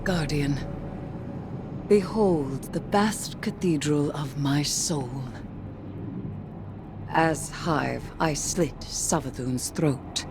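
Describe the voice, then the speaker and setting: An actress playing a reborn Hive goddess who speaks in a slow, grave, commanding way. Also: female voice, sits low, exaggerated voice. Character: female Hive god reborn, proud and cold. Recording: distant voice over noisy ambience.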